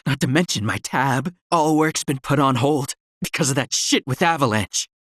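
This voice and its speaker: A man's voice: medium pitch, animated, moderate pace, a bit bright, dry recording, studio quality. A man voicing a harried townsman in a video game, complaining in an exasperated way. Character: harried, complaining townsman fretting over money.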